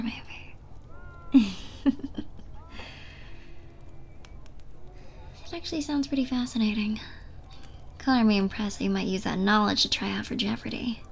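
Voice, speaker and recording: A woman playing a flirty, teasing bartender in a soft, breathy ASMR roleplay, speaking close to the listener and often dropping to a near-whisper. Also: mid-range voice, a little hiss or hum, lightly acted. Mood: playful. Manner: playful, giggly, unhurried flirting.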